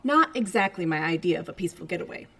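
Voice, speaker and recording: An actress playing a cold, secretive operations commander in an audio drama, with a clipped, authoritative delivery. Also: female voice, lowish voice, animated, fast talker, bright, forceful tone, slightly rough, full character voice. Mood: cold, commanding.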